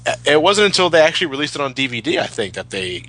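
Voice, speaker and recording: A man's voice: fairly high pitch, big pitch swings, fast talker, sharp tone, rough recording. A man in casual fan chat, stammering over repeated words and swearing freely. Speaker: commentary host.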